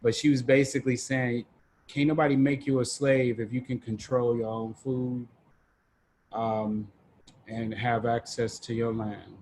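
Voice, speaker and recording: A man facilitating an online group discussion in a halting, informal, casual way. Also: mid-range voice, flat delivery, a little mellow, poor audio. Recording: noticeable background noise on online call.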